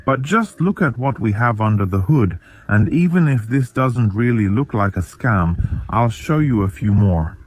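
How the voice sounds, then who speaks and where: A man's voice: fairly low pitch, lively intonation, unhurried, no echo. A man walking viewers through a car in a plain, matter-of-fact explanation. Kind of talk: explanatory walkthrough.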